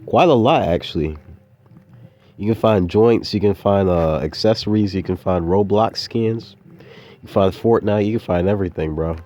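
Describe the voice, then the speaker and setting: A man's voice: on the low side, moderate pace, darkish tone. A young adult man rambling casually in a laid-back, slangy, meandering way, like an informal audio diary. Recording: dull, muffled lo-fi audio.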